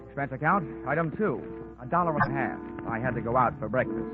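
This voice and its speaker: An actor playing a cocky, wisecracking freelance investigator with a dry, sardonic manner in a 1940s radio drama. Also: male voice, medium pitch, expressive, fast, narrow, tinny audio, close to an everyday voice. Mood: dry, wry. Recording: thin band-limited 1940s radio recording, music.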